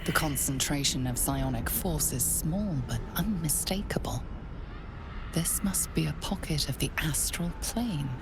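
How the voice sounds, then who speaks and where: A woman narrating a fantasy video game as an ominous storyteller, in a hushed, breathy voice. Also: deep voice, unhurried, noisy background. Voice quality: whispery, breathy. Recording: loud music behind.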